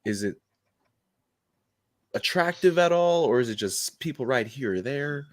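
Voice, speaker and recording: A man hosting a podcast, talking in a slangy, excitable, casual way. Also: mid-range voice, a little slow, dry recording, clean studio sound. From United States. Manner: slangy, excitable, laughs easily. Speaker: podcast host.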